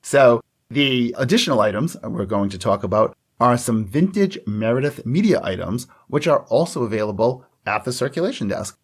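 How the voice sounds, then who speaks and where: A man's voice: mid-range voice, expressive, moderate pace, breathy edge. A man co-hosting a library podcast in casual, joking chat. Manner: chatty, joking, with laughs and restarts. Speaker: podcast co-host.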